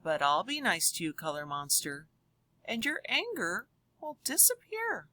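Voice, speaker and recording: Woman playing a bright, lilting, caring little girl. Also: medium pitch, a little slow, no echo, full character voice. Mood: soothing. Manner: expressive, sing-song, addressing a monster gently. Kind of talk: children's picture book read-aloud.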